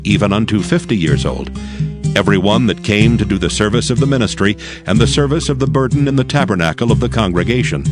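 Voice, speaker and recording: A man narrates scripture formally in a fairly low voice. His intonation moves widely while staying measured and solemn. Music plays clearly behind him. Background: guitar and instrumental music.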